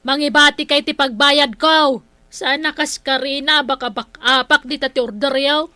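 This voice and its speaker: A woman's voice: high voice, steady tone, normal speed, bright, forceful tone, no echo. A woman playing a stubborn, indignant village woman in an Ilocano comedy drama, with an Ilocano accent and an exclaiming way of talking. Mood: firm, annoyed. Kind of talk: Ilocano comedy radio-style drama acting.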